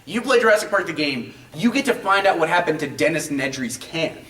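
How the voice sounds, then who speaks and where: A man's voice: fairly high pitch, lively intonation, brisk, sharp tone. A man hosting a casual game and film chat, talking in an emphatic, profane, ranting way and repeating himself for stress. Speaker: show host. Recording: roomy echo, distant mic, faint noise.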